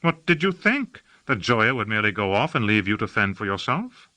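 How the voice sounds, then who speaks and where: A man's voice: fairly low pitch, big pitch swings, fairly quick, bright, forceful tone, no room sound. A man voicing an airy, languid male citizen who gossips in an affected, lilting drawl.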